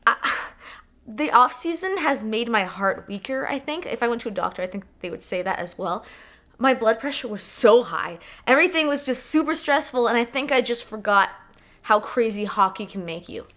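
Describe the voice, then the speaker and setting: A young woman vlogging about a hockey game, her voice bright and a little stuffy from a cold. She talks in an excitable, animated way and drops casual swears as she tells the story. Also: a little high, moderate pace. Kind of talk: casual game review vlog.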